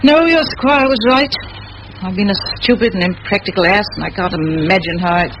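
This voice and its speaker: An actress playing a middle-aged, exacting spinster schoolmistress with a crisp, commanding, dryly ironic manner in a vintage radio play. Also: female voice, medium pitch, fairly quick, lightly acted. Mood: rueful. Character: brisk, exacting spinster schoolmistress, dry-witted and forthright. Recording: muffled old radio recording, loud hiss.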